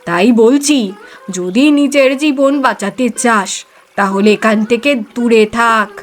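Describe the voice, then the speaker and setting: A woman's voice: fairly high pitch, slow pace, faint music behind. A woman voicing an ominous older village aunt in a cartoon, scolding and emphatic as she gives warnings. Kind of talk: animated cartoon storytelling.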